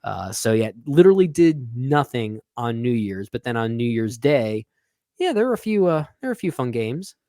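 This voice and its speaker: A man co-hosting a podcast in casual chat, still recovering from a sinus infection that leaves his voice a little deeper, quick and self-correcting. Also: big pitch swings, warm tone, no room sound, studio quality. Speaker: podcast co-host.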